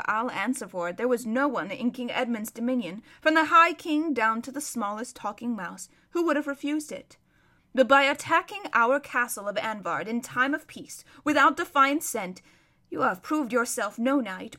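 A gruff old storybook king as a woman reader acts him out speaks with stern, stately authority. The delivery is forceful and grumbling, with an archaic, fatherly weight. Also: fairly high pitch. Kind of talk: storybook character voice.

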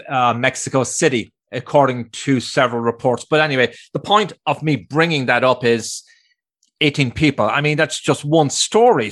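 A man's voice: mid-range voice, a bit bright. Man hosting an interview, chatty asides. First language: Irish Gaelic. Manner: conversational, self-deprecating asides. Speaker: interview host. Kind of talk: interview questions and reading headlines.

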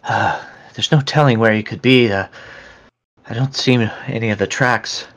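A man's voice: on the low side, moderate pace, fairly bright tone. A man narrating a tabletop game as game master, describing scenes with frequent fillers and restarts.

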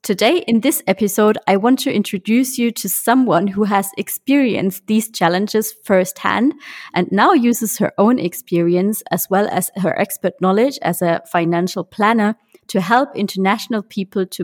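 A woman's voice: mid-range voice, moderate pace. A woman hosts a career podcast. She sounds upbeat and friendly and laughs lightly between her questions. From Germany. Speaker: podcast host.

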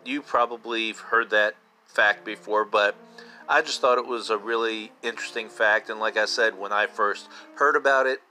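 Male podcast host, fifties: bright, punchy, informal fan chat, repeats points for emphasis, faint music bed. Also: on the low side, steady tone, normal speed, low-quality recording. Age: 50s. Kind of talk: casual solo podcast talk.

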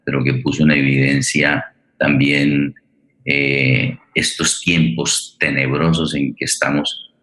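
A man with an extremely low voice hosts a radio programme. He talks in long, formal, courteous sentences, often pausing and restarting as he searches for the next phrase. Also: little pitch movement, sharp tone, rough recording. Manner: halting, wordy, formal and courteous. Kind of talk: unscripted, welcoming introduction of a guest and play.

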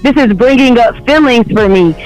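Very high-pitched man, emphatic talk. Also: normal speed, poor audio. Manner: emphatic, frequent laughs, rhetorical "right?". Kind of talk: casual chat.

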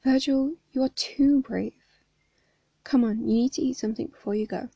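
A woman's voice: high voice, moderate pace, warm tone, no echo, muffled recording, voice pushed up, full character voice. A woman reading as a kind, motherly woman. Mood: tender. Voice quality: hoarse. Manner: tender and encouraging.

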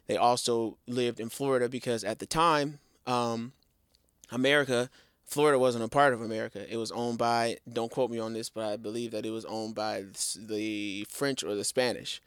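A man co-hosting a relaxed podcast, his voice smooth and resonant at an ordinary middle pitch. He talks in a lively way and addresses viewers directly, with slang. The sound is close and dry, with no room around it. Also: normal speed, no room sound. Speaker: podcast co-host. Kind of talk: casual podcast chat.

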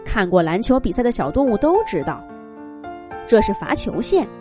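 A woman telling a children's story with gentle, expressive narration. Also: medium pitch, normal speed, faint music behind.

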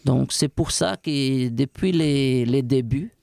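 A middle-aged man from Brazil speaking French with a Brazilian Portuguese accent, talking haltingly and restarting words in a casual podcast interview. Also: mid-range voice, vocal fry, darkish tone, no room sound, studio quality. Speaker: strength and conditioning coach.

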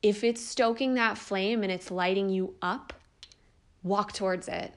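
A woman's voice: mid-range voice, steady tone, measured pace. A young woman hosting a podcast, talking earnestly and encouragingly about life purpose, casual with the odd swear word. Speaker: podcast host. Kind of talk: spiritual self-help monologue.

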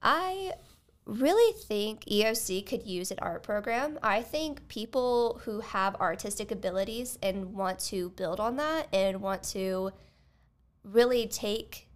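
A woman's voice: medium pitch, lively intonation, takes it slowly. A woman co-hosting a podcast, chatting casually as she answers listener questions. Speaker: podcast co-host. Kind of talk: casual advice podcast chat.

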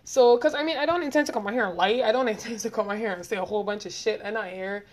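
Young woman, Barbadian accent, quick rambling solo podcast talk, frequent laughs, mid-pitched voice. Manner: fast-talking, rambling, laughs often. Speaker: podcast host. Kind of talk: casual solo podcast monologue.

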